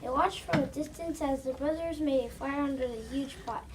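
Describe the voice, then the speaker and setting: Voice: sits high, brisk. A child narrating a scripted story aloud as part of a reader's theater. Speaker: student narrator. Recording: distant mic, noisy room ambience.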